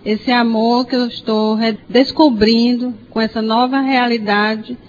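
A woman's voice: fairly high pitch, fairly even intonation, a little slow. A Brazilian woman of 56 speaking reflectively in short phrases as a radio show guest. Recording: dull audio with faint noise.

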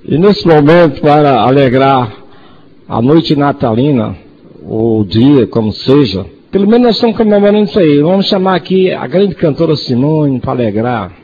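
A man's voice: a little high, expressive, moderate pace, mellow. A 66-year-old Brazilian man presenting a community radio talk show. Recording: dull lo-fi audio, faint noise.

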